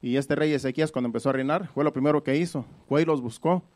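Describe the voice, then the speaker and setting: A man's voice: a little high, fast, a little mellow. A man preaching in a conversational Bible-teaching style, explaining things by repeating and rephrasing his points.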